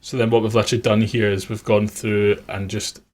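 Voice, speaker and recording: Lower-voiced man explaining music production. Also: level tone, fairly quick. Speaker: music producer teaching a tutorial. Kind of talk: informal tutorial explanation.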